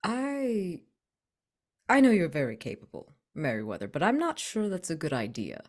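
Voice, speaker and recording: A woman plays a droll, protective companion in a tabletop roleplaying game. Her voice is fairly low and very animated, with wry, hesitant phrasing. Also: normal speed. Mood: wry concern.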